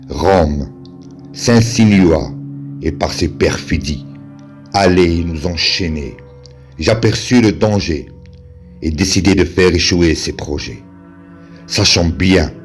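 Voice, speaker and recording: Male poetry reciter, very low voice, solemn and declamatory, unhurried, over music. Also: a little mellow. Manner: slow, measured, declamatory delivery with pauses.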